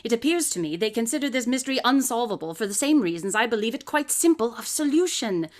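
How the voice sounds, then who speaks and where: A woman's voice: on the high side, very animated, fast talker. A woman playing a brilliant, eccentric detective in a live radio drama, coolly superior, lecturing in precise, didactic speech. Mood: brisk, dismissive. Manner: pompous, didactic, precisely articulated. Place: closet or desk at home.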